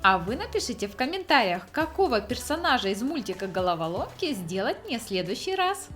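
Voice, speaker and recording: A woman's voice: on the high side, sing-song, a little fast, fairly bright tone, faint music behind. A woman hosting a craft tutorial, explaining each step in scripted narration. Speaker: craft channel host.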